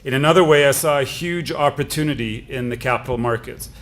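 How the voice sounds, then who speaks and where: A man's voice: medium pitch, a little fast, a bit bright. Canadian man giving a conference talk. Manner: conversational, with frequent fillers. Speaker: conference speaker. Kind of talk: conference speech.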